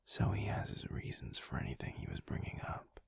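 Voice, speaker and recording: A man playing a calm, brooding alpha werewolf pack leader in an intimate audio roleplay, speaking thoughtfully in a breathy, gravelly near-whisper with halting pauses. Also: natural voice. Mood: wary, deliberate. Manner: slow, halting, pensive delivery.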